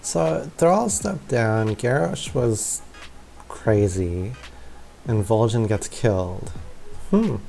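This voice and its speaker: A man's voice: lowish voice, lively intonation, very slow, gravelly, warm tone. A man hosting a gaming video with casual walkthrough commentary. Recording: faint game music behind.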